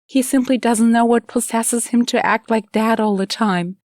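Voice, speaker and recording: Audiobook drama: female reader as anguished young woman, scared for a loved one, pitched high, very dry recording. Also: fairly even intonation, moderate pace, a little mellow, no room sound, lightly acted. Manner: emotional, anguished first-person telling. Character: frightened, grieving young woman watching a loved one suffer. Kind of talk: dramatic audiobook character reading.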